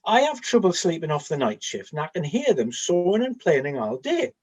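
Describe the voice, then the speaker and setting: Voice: mid-range voice, very animated, fairly quick, darkish tone, slightly rough. An actor playing an observant, matter-of-fact Trojan soldier in a comic radio play, speaking conversationally. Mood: bored, musing.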